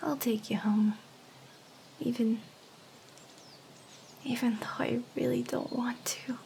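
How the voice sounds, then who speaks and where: A woman voicing a young, clingy, lovesick harpy girl in an anime ASMR roleplay, with a breathy, often whispery voice and a hesitant, earnest delivery. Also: a little high, dark tone, slight background noise. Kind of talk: anime ASMR roleplay acting, intimate address to listener.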